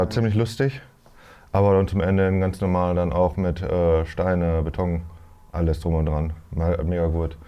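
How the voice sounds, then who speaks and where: A man's voice: low voice, dull audio. A man in his thirties chatting casually as a trainee guest on a podcast. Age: 30s. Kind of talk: casual chat.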